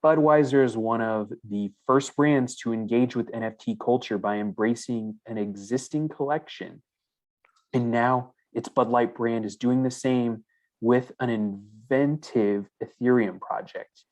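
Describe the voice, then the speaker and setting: A man's voice: medium pitch, a little slow, darkish tone. A man hosting a crypto news show, reading stories aloud and adding chatty asides in a casual, conversational way.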